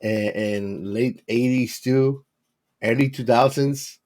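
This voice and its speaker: A man co-hosts a relaxed, informal film chat at a medium pitch. He repeats himself as he searches for words, recorded dry and without room sound. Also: slow, dry recording. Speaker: podcast co-host.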